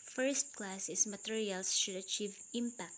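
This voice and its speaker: A woman teacher with a Filipino accent and Cebuano as her first language, reading points from slides in a recorded video lecture, slow and deliberate. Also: mid-range voice, a bit bright, slight background noise. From Philippines.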